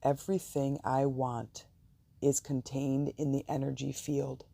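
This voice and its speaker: Slow, very dark gravelly guided meditation voice. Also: medium pitch, dry recording. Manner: slow, calm, soothing, deliberate pauses.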